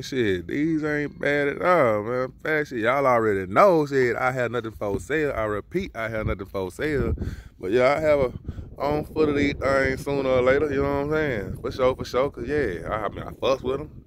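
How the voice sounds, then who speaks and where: A man's voice: mid-range voice, noisy background. A man reviewing sneakers in a casual vlog, talking loose and profane with lots of slang, recorded outdoors on a handheld phone. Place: outdoors beside a car in a yard.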